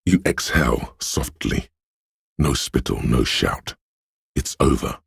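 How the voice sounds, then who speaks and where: Super deep husky paranoid inner voice for a video game, slow n ominous, like raw fear and menace talking. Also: male voice, a bit bright, no room sound, studio quality, exaggerated voice. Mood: ominous, hushed. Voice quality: gravelly, husky, breathy. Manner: slow, ominous, intense delivery. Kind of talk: dramatic video game voice acting.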